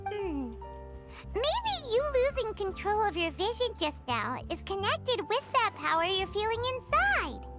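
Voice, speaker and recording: A woman voicing a tiny, childlike, nosy floating companion in a video game, squeaky, exclamatory and easily startled. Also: wildly swooping pitch, a little slow, full character voice. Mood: musing. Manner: exclamatory, inquisitive, refers to self in third person. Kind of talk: video game cutscene acting. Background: loud game music.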